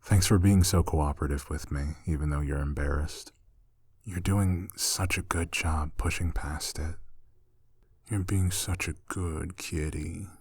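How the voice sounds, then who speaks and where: A man plays a young, soft-spoken male roommate in an intimate ASMR roleplay. His low voice moves slowly, soothing and reassuring with a light tease. The sound is close and dry. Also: fairly even intonation, breathy edge, dry recording, full character voice. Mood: tender teasing. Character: gentle, teasing male roommate soothing a shy catgirl-like companion.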